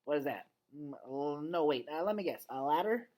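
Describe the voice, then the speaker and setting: A man's voice: fairly high pitch, expressive, normal speed, darkish tone, close to an everyday voice. A man voicing a sleepy, proud animal friend with a dry, dismissive manner in a children's storybook. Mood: puzzled. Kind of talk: children's novel read aloud.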